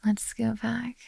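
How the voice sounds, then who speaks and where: A possessive monster girl acted by a woman in an intimate ASMR roleplay, murmuring slowly and close to the mic. Her voice is mellow and fairly high, with a soft breathiness that slips toward whispering. She teases like a dominant, motherly captor who is sure of her prize. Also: creaky, breathy edge, no echo, heavily acted. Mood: fond, possessive. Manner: slow, soft teasing murmur slipping into whispers. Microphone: close ASMR microphone.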